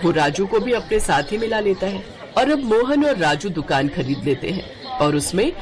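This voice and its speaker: A woman narrating a children's moral story in an expressive scripted storytelling style. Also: medium pitch, normal speed, a crowd in the background.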